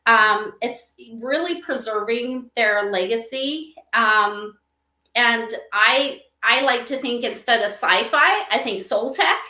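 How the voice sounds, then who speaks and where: A woman with a very bright, somewhat high voice talks as an interview guest. She explains informally and with animation, slipping into little example exchanges. The sound is clearly roomy and echoing. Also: takes it slowly. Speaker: interview guest, company advisor on AI memorial companions. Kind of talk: casual livestream interview, explaining.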